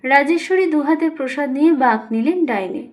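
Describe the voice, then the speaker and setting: A woman narrating literary fiction as an audiobook reader, in an expressive storytelling manner. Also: high voice, moderate pace.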